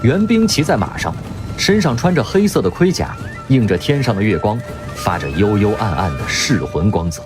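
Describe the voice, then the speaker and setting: A man narrating a radio drama in a vivid, expressive storytelling manner. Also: fairly low pitch, normal speed. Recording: music underneath.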